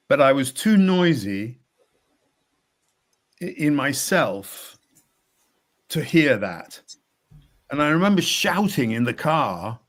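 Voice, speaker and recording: A man, an executive coach, talking slowly and reflectively in a conversation, repeating words as he thinks. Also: on the high side. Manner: slow, measured, with repeated words and soft laughs.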